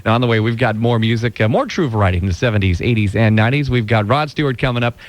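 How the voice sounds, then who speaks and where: A man's voice: medium pitch, racing. An American man in his thirties hosting an upbeat music show as a radio DJ. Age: 30s. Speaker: radio DJ, mid-day host. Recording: radio broadcast with music behind. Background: music bed.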